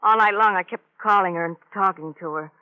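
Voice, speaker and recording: A woman's voice: mid-range voice, brisk, natural voice. An actress playing a drunken, bitter party girl in a radio crime drama, with slurred, rambling talk. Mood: dazed, grieving. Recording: muffled old radio broadcast recording.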